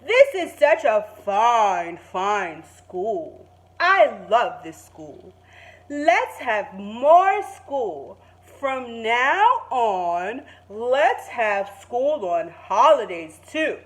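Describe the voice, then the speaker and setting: Woman putting on a proud, booming, slow-talking school principal. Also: sing-song, exaggerated voice. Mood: grandly enthusiastic. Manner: booming, drawn-out, emphatic proclaiming. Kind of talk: children's storybook read aloud.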